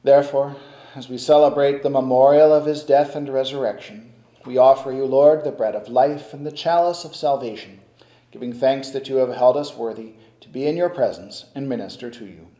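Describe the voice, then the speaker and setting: Older priest intoning mass prayers, mellow low-key voice, big echoey stone church. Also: male voice. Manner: slow, solemn, measured intoning. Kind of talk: liturgical prayer recitation. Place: stone-walled church sanctuary at the altar.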